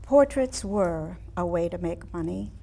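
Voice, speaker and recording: Slow, wistful older woman painter reminiscing, played by a woman. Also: fairly low pitch, animated, vocal fry, warm tone, full character voice. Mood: fond reminiscing. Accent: American. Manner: measured, nostalgic, pausing between phrases. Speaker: playwright performing a staged reading. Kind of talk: theatrical monologue reading. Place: small studio room with seated audience.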